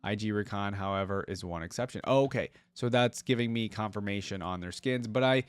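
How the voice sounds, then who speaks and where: Low-voiced man giving casual reactions. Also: brisk, clear, resonant tone, no room sound. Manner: informal, thinking aloud. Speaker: reaction video host.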